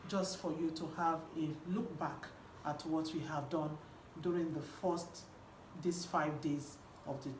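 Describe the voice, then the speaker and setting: A woman's voice: sits low, darkish tone. A woman with a Nigerian accent giving a live online coaching talk as host, speaking emphatically and repeating key phrases. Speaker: host and women's health coach. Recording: roomy echo with faint background noise.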